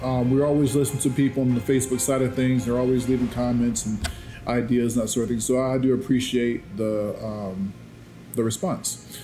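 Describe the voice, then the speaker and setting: A man's voice: medium pitch, little pitch movement, brisk, mellow. A man speaking informally and conversationally to viewers as a story creator and channel host. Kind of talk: casual unscripted outro to viewers. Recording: roomy echo with music behind. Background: music.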